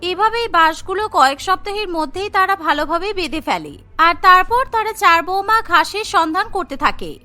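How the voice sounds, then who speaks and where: A woman's voice: very high-pitched, big pitch swings, fairly quick, bright, forceful tone. A woman narrating a scripted cartoon story.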